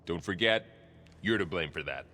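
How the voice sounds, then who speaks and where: A playful, low-voiced loyal companion. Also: male voice, lively intonation, normal speed, bright, forceful tone, a little hiss or hum. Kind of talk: video game cutscene acting. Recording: background music under the voice.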